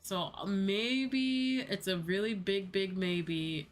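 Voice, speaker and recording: A woman's voice: mid-range voice, takes it slowly, soft background music. A young adult woman chatting casually on a livestream, informal and chatty, often repeating her phrases. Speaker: VTuber live streamer.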